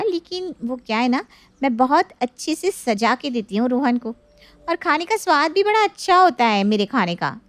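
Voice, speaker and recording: A woman's voice: sits high, dry recording. A woman voicing a boastful married elder sister in a comic story, chatty, smug and condescending about her mothering.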